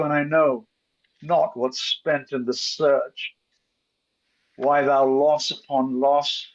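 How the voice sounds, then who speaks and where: A man playing a bitter, vengeful merchant and wronged father in a theatrical Shakespeare reading, declaimed deliberately with emphatic pauses. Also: on the high side, vocal fry, heavily acted. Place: online video call reading. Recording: muffled video call audio.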